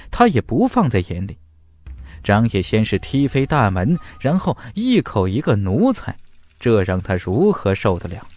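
A man's voice: medium pitch, darkish tone, dry recording. A man narrating a fantasy audiobook in a dramatic scripted storytelling style. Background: faint music.

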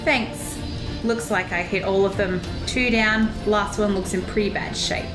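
A woman's voice: medium pitch, steady tone, measured pace, natural voice. A woman playing a confident, gleeful explosives expert on a starship crew in an audio drama, casual and wry. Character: gleeful, confident female explosives-loving crewmate. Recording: roomy, music behind.

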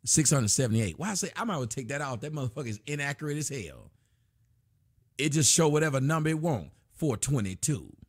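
A man's voice: medium pitch, very animated, fairly quick, breathy edge, no room sound, studio quality. A man hosting a casual livestream from a small room at his desk, loosely reading donations and chat aloud with plenty of profanity. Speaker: livestream host.

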